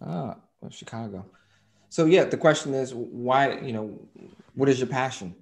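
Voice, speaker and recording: A man moderating an online panel over a video call, upbeat, with quick affirmations between speakers. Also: mid-range voice, animated, vocal fry.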